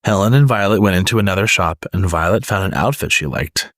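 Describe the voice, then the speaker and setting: Male storybook narrator, lowish pitch, close dry recording, reading aloud for young children. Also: expressive, fairly quick, fairly bright tone, no room sound. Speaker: storybook reader.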